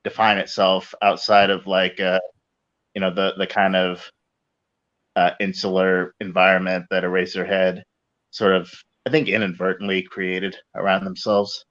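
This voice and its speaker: A man in casual podcast discussion, speaking haltingly and hesitantly as he searches for words. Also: deep voice, completely flat delivery, a bit bright. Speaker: podcast guest. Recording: dull lo-fi connection.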